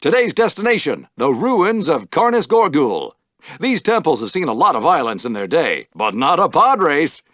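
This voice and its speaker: An actor playing an exuberant, wry podrace announcer in a video game, with a showy, dramatic broadcast delivery. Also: male voice, on the high side, big pitch swings, normal speed, a bit bright, no echo, studio quality. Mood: showy, scene-setting. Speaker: sports announcer. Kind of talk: theatrical sports commentary for a video game.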